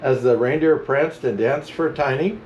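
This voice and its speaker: A man reading a children's storybook aloud as a Santa storyteller, with animated, chuckling narration. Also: mid-range voice, normal speed, muffled recording, slight background noise. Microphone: camera mic.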